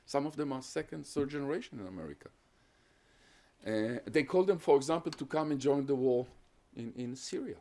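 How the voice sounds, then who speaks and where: A man gives an academic talk in an even middle voice. He sets out his points one by one in a measured, explanatory way. He also takes questions from the audience. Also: medium pitch. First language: Hungarian. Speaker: guest lecturer on terrorism research.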